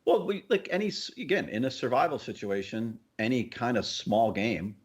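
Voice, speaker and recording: A man's voice: medium pitch, lively intonation, normal speed, breathy edge. A man co-hosting a podcast, talking in a casual, informal conversational way. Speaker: podcast co-host.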